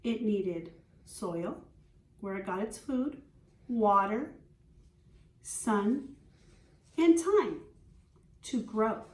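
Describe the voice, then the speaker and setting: A woman schoolteacher reading a children's storybook aloud, explaining simply and pausing to put questions to young listeners. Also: medium pitch, expressive, a little mellow. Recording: roomy echo, distant microphone.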